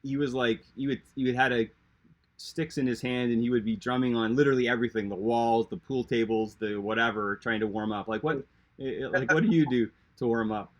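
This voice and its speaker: A man's voice: medium pitch, steady tone, brisk. A man hosting an interview, asking long rambling questions full of fillers and restarts. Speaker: interview host. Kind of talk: casual interview talk.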